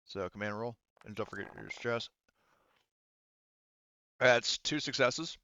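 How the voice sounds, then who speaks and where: A man acting as game master of a tabletop roleplaying game, explaining rules and often pausing to think aloud. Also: medium pitch, sharp tone, dry recording, lo-fi sound.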